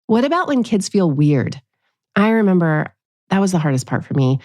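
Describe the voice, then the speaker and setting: A woman's voice: on the low side, very animated, moderate pace, darkish tone, no room sound. A woman hosting a podcast as a pediatrician, delivering scripted narration addressed to parents.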